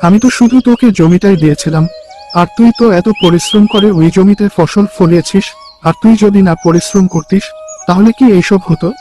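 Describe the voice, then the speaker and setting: A man's voice: high voice, brisk, darkish tone, close to an everyday voice. A man playing a generous, loyal village friend in a cartoon folk tale, with a reassuring, brotherly manner. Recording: music behind the voice.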